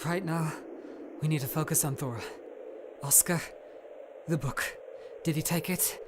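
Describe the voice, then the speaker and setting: A grave, desperate male spellcaster, played by a man in a fantasy audio drama. He talks slowly in a subdued, weary way, with clear noise and ambience behind him. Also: a little high, steady tone. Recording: noisy ambient background.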